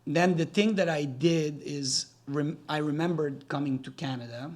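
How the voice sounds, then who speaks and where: A man in his forties, a native Serbian speaker raised in Quebec, talking as a podcast guest in a friendly, halting way full of fillers and restarts. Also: a little high, darkish tone. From Serbia, raised in Gatineau, Quebec. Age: 40s. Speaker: podcast guest, real estate developer. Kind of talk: casual interview talk.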